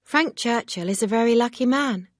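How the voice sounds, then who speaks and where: A woman's voice: a little high, moderate pace, dry recording, studio quality, voice pushed up, close to an everyday voice. A woman reading an audiobook, voicing a proud, earnest young woman who speaks with firm emphasis.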